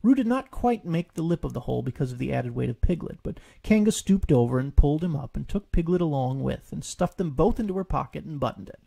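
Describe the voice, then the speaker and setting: Mellow, gravelly man reading a story. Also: fairly high pitch, lively intonation, fast talker, hardly any pauses. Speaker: author reading his own story. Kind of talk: scripted audiobook narration.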